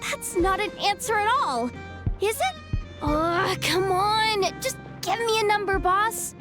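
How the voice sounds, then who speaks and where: An earnest, whiny young woman assistant from a video game. Her voice is very high and she talks slowly, drawing out her pleas. Game music plays clearly behind her. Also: expressive, heavily acted. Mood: pleading.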